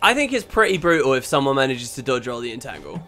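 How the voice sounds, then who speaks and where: A man with a bright, forceful voice talks through a game critique in casual speech. His pitch swings widely as he argues his points bluntly and emphatically. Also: sing-song, fast, faint music behind. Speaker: game build reviewer and critic. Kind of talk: casual critical gaming chat.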